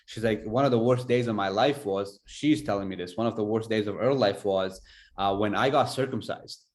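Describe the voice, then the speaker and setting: A man from Afghanistan hosting a podcast, telling a personal story in an earnest, halting way. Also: on the low side. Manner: earnest, halting, many fillers. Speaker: podcast host.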